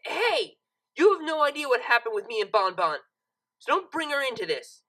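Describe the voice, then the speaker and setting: A woman's voice: sits high, sing-song, normal speed, fairly bright tone, pitched above the performer's own voice, exaggerated voice, low-quality recording. A woman voicing a brash, spiteful young unicorn mare who talks in slang and gets defensive and flustered.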